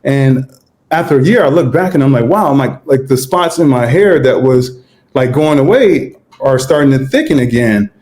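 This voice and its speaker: A man in his forties chats as an interview guest, unhurried and easygoing, at a middling pitch. He acts out what he once thought to himself. The room adds a noticeable echo around his voice. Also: expressive, a little mellow. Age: 40s. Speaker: guest, vegan chef. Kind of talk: casual interview storytelling. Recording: roomy echo.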